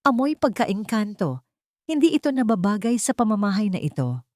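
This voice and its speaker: A woman's voice: medium pitch, big pitch swings, normal speed, darkish tone, no echo, clean studio sound, pitched above the performer's own voice, lightly acted. A woman voicing a haughty, venomous wealthy older matriarch in an audio drama, scornful and commanding. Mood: disgusted.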